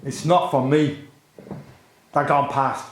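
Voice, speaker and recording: A man playing a gruff, impatient character in a radio drama, terse and grumbling. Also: mid-range voice, steady tone, slow pace, slight background noise, natural voice. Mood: tense, irritable. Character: impatient, gruff man waiting tensely in hiding. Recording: echoey room.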